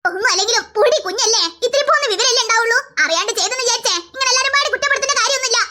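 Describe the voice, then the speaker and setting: Cartoon granny voiced by a performer, old, doting, soothing, very high yet lower than the rest, noisy track. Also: rapid-fire. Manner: soothing, protective. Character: kind doting old grandmother. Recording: loud background noise.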